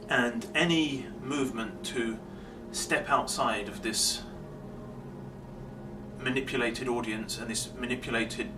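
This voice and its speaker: Guy doing a slow emphatic rhetorical speech, far from the mic w/ clear background noise. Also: medium pitch. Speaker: speaker delivering social commentary.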